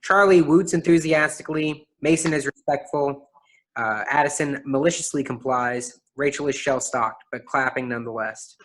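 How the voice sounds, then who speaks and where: A narrator reads stage directions for a staged play reading. The voice sits at a middle pitch. The delivery is scripted, describing action between the actors' parts. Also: normal speed, low-quality recording.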